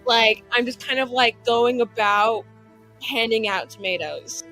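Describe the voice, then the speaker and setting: A woman's voice: a little high, unhurried, bright, forceful tone, soft background music, low-quality recording. A woman playing a tabletop roleplaying game, improvising her moves in a casual, rambling way full of "like". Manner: rambling, peppered with "like".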